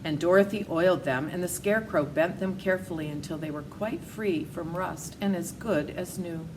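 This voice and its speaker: A woman with a fairly low voice narrates a children's story aloud. There is clear background noise on the recording. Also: moderate pace. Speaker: storybook reader.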